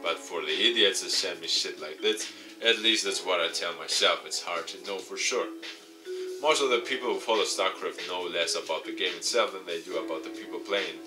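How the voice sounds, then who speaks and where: Low-voiced man reading aloud over music. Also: moderate pace. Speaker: gaming YouTuber. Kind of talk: let's play, reading visual novel text aloud with asides. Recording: music playing behind the voice.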